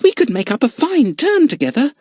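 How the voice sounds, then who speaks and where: A man's voice: very high-pitched, huge pitch swings, fairly quick, dry recording, clean studio sound, voice pushed up, exaggerated voice. A man doing a sobbing little circus girl. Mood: eager. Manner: stammering through sobs. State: crying.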